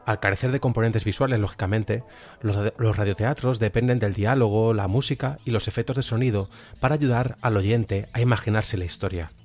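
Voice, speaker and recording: A man with a Spain Spanish accent presenting a podcast in an explanatory, measured way. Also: lowish voice, a little mellow. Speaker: podcast host. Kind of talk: podcast presentation, semi-scripted. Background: music.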